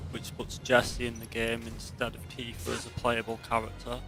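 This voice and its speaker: A man's voice: mid-range voice, monotone, normal speed, sharp tone. A man giving casual let's play commentary on a video game. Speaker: gameplay commentator. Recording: game music behind the voice. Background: video game music.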